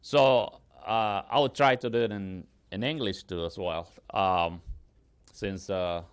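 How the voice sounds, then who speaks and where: A pastor, a man whose first language is Spanish, giving a slow, solemn public invocation prayer. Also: lowish voice, clear, resonant tone, no room sound. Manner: slow, measured, solemn delivery.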